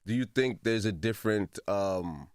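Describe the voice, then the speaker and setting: A man's voice: on the low side, moderate pace, clean tone, dry recording, clean studio sound. A man hosting a casual radio interview, asking questions in an informal, conversational way.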